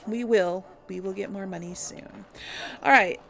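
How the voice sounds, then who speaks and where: A woman with a creaky voice giving casual, easygoing game commentary, musing to herself as she plays. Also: medium pitch, fairly even intonation, unhurried, a bit bright, faint music behind. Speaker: let's play host. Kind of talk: casual game commentary with light role play. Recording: faint background game ambience.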